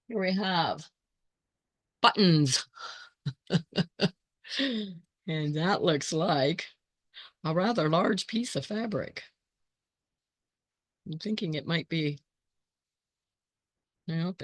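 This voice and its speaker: A hoarse woman hosting her quilting channel, thinking aloud in casual chat as she sorts scrap fabric and greets viewers by name. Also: on the low side, extremely slow, pauses often, dry recording.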